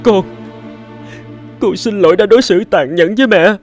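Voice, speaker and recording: A male actor plays a wounded cartoon teenager. The voice is high, halting and earnest, with light music underneath. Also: lightly acted. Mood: remorseful. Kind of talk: dubbed cartoon melodrama.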